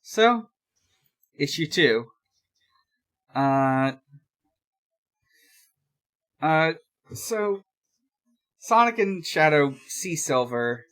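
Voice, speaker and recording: Unhurried podcast co-host, casual recap. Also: medium pitch, lively intonation, fairly bright tone. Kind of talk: casual recap of a fan comic.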